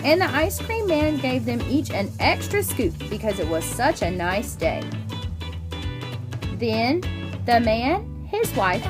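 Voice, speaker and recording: A woman reading a children's picture book aloud in an expressive storytelling way, drawing out the ends of phrases. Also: fairly high pitch, slow pace, over music. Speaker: storybook reader.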